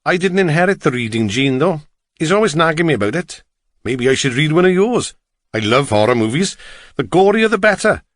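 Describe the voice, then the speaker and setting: A man's voice: fairly high pitch, big pitch swings, a little fast, a bit bright, voice pushed up. A man voicing a chatty, curious middle-aged taxi driver with a Welsh accent in an audiobook. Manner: chatty, lilting, inquisitive.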